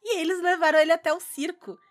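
A woman with a southern Brazilian accent from Rio Grande do Sul, co-hosting a casual podcast and telling anecdotes in animated, slangy speech. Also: very high-pitched, quick pace, soft background music. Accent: southern Brazilian Portuguese from Rio Grande do Sul. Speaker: podcast co-host. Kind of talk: casual chat, storytelling.

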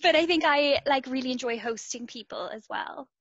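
A woman guest on a podcast, talking in a warm, hesitant way over a video call. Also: high voice, normal speed, a little hiss or hum. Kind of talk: casual chat. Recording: dry, dull video call audio.

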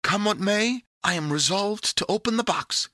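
A man voicing a willful, excitable young girl in a storybook, with an emphatic, emotional manner. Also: high-pitched, a little slow, dry recording, clean studio sound, pitched above the performer's own voice, exaggerated voice. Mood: eager, resolute. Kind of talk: storybook character voice.

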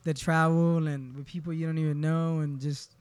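Barbershop owner from Honduras, twenties, easygoing storyteller, smooth tone. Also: male voice, a little high, flat delivery, normal speed, a little mellow, dry recording. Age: 20s. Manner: laid-back, often says "you know what I mean". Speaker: podcast guest, barbershop owner. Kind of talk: casual personal storytelling.

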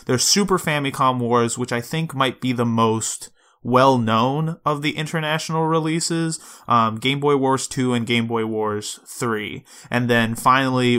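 A man's voice: medium pitch, bright, forceful tone, smooth. A man hosting a gaming podcast, chatting in a casual, informal and explanatory way. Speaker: podcast host. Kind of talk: casual podcast chat about retro video games.

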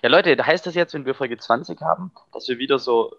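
A young man rattles along very quickly as he banters on a podcast with friends. His talk is joking and slangy, and the sound is dull, lo-fi internet call audio. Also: medium pitch, creaky, fairly bright tone. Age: young adult. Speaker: podcast co-host. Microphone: online call.

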